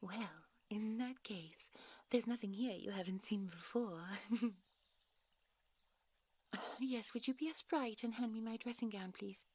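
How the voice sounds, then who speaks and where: A woman playing a gentle, secretive fairy princess in an intimate audio roleplay, with a soft, breathy voice and a genteel, coy, polite manner. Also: on the high side, unhurried, pauses often. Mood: coy, playful. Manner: genteel, coy, old-fashioned polite phrasing. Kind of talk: intimate audio roleplay acting, one side of a dialogue.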